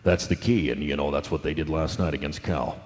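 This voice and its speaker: A man playing a dim, earnest ex-football player on a sports radio show, halting as he states the obvious, in a radio drama. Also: extremely low pitch, steady tone, darkish tone. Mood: earnest, explaining. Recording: dull lo-fi sound, long hall reverb.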